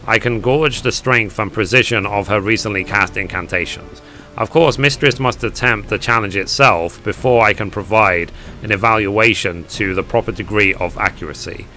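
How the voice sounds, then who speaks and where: A man reads out a precise, formal male examiner of magic from a fantasy game. The voice is a little hoarse, with a bright, forceful edge, and game music sits faintly behind it. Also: fairly low pitch.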